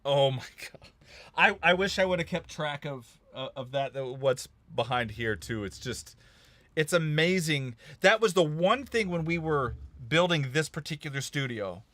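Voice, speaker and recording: A man's voice: a little high, expressive, normal speed, fairly bright tone, no room sound. A man from Kansas hosting a casual live-stream chat about recording studios, chatty and laughing at himself. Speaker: host.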